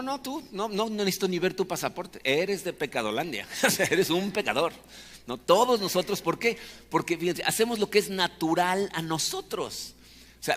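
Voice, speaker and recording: A man's voice: on the high side, fairly bright tone. A man with a Mexican accent preaching a conversational, explanatory sermon, often checking for agreement with short tag questions. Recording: long hall reverb.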